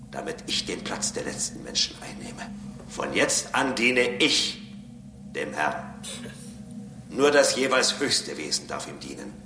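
A deep, superior robot in echo. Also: male voice, vocal fry, exaggerated voice. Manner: solemn, condescending, measured and pedantic. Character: calm, superior robot convinced it serves a higher master. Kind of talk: German radio drama acting. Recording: roomy echo, music bed behind.

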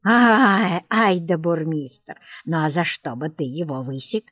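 A woman's voice: deep voice, slow, a bit bright, dry recording, muffled recording, exaggerated voice. A woman doing a coarse blustering estate manager. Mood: jovial. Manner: hearty guffawing, then blustering threats. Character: coarse boisterous estate manager, middle-aged man. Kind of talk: audiobook reading.

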